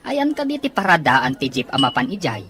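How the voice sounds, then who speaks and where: A woman's voice: deep voice, very animated, brisk, a bit bright. A woman playing a chatty, obliging office underling in a serial drama, over music. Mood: friendly, probing. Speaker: actor in a Filipino serial drama. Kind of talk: acted drama dialogue. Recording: music behind the voice.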